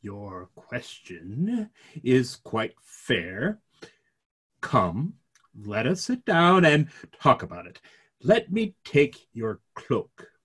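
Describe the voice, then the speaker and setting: Guy reading a gentle middle-aged parson in a play, husky and unhurried, kinda troubled. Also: mid-range voice, huge pitch swings, exaggerated voice. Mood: calm, conciliatory. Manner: measured, conciliatory. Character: gentle, troubled, reasonable clergyman. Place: room at home, video call.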